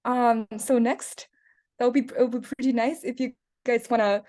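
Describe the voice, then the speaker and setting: Young woman hosting, bright higher voice. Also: fairly even intonation. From China. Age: young adult. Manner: hesitant, many fillers, slows down at times. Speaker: host, student club co-president. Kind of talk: casual moderating on a video call. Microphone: video call.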